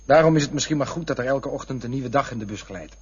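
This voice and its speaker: Husky, defensive, wistful man. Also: medium pitch, fast, no room sound. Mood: wistful reminiscing. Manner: touchy, drifting into lyrical reminiscence. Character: defensive, wistful man looking back on lost loves. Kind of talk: Dutch radio play acting. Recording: dull, lo-fi radio play recording.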